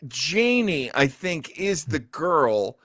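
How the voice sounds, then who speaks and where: A man with a bright, forceful voice and very animated pitch, talking casually as a podcast host. He sounds enthusiastic and likes to prod with questions, recorded close and dry. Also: slow pace, no room sound. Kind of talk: casual chat about old radio and films.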